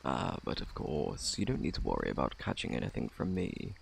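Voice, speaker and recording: A man with a British accent playing a polite, evasive, faintly sinister plague doctor in an ASMR roleplay, speaking softly in a formal, fussy, self-assured way with a gravelly, partly whispered voice. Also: extremely low pitch, big pitch swings, fairly quick, slight background noise, exaggerated voice. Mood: grave, ominous. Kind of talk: ASMR roleplay, soft close speech.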